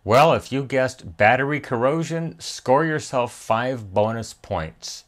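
A man's voice: medium pitch, expressive, slow pace. A man hosting a hands-on repair tutorial, talking methodically step by step and thinking aloud as he works. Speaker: repair channel host. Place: indoor electronics workbench.

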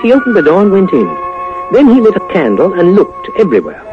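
A male narrator tells a story in old 1950s radio style, his high voice rising and falling dramatically. Music plays behind him on a muffled recording. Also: wildly swooping pitch. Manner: dramatic, suspenseful storytelling with pauses.

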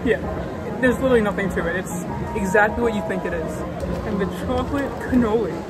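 A man's voice: sits high, fast, loud music behind the voice, a loud crowd, poor audio. A young man in a casual food-review vlog, chatty and frank, often saying "like" and "literally", filmed outdoors at a theme park. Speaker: vlogger.

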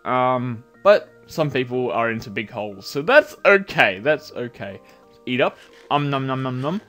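A man giving casual, rambling commentary over a video game while sounding nasal from a cold, with bursts of excited yelps. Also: mid-range voice, unhurried, faint music behind. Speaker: gameplay commentator.

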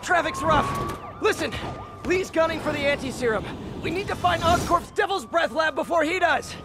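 An actor playing a young, wisecracking American superhero in a video game, sarcastic and casual. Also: male voice, very high-pitched, animated, normal speed. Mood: urgent, friendly. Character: wisecracking young male superhero, cheeky and friendly. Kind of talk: video game hero acting. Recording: very loud action noise behind.

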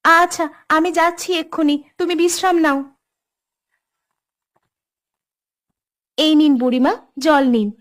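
A woman's voice: high-pitched, a little fast, natural voice. A woman playing an anxious, caring fisherman's wife in a cartoon, with a worried, fretful manner. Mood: troubled. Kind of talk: Bengali cartoon dub.

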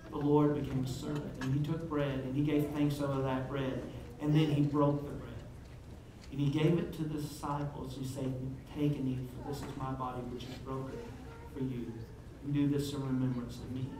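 A man in his forties, a pastor, leads communion with earnest, measured prayer, and his phrases fade off gently at the ends. He is picked up at a distance in a church sanctuary with a lot of echo. A steady hiss sits under him. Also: fairly high pitch. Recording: echoey sanctuary heard from far off.

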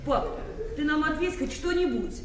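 An actress playing a worried, exasperated grown daughter in a radio play, earnest and reproachful. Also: female voice, sits high, expressive, moderate pace. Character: worried, exasperated grown daughter torn between father and husband. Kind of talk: Soviet-era radio play acting. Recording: echoey hall, distant, faint noise.

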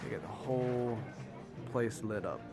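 A man's voice: mid-range voice, unhurried, creaky, music in the background. A man vlogging as he walks through a large department store, chatting and easily delighted. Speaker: travel vlogger. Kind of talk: casual walkthrough vlog.

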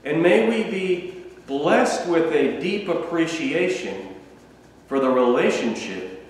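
Slow, echoey man leading a meditation. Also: on the high side, sing-song. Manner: slow, measured, contemplative delivery with long pauses. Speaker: minister. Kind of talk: reflective sermon meditation. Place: small room at home, standing before a patterned wall hanging beside a candle. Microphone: camera a few feet away. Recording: echoey, roomy sound from a distance.

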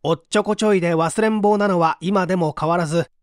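A man narrating a book as scripted audiobook reading, expressive and clearly articulated. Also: high voice, fast, dry recording, studio quality.

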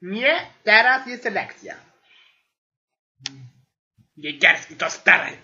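A woman's voice: mid-range voice, animated, extremely slow, sharp tone, lo-fi sound, exaggerated voice. A woman playing an exasperated female English teacher in an amateur comedy audio sketch, whining and grumbling as she scolds her class. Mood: whiny, disgusted.

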